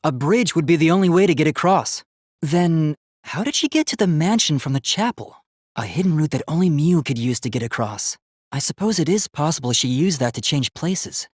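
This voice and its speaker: A man's voice: fairly high pitch, animated, moderate pace, breathy edge, no room sound, clean studio sound. A man voicing an earnest, polite young robot student in a video game, with formal, precise, logical speech that gets easily flustered.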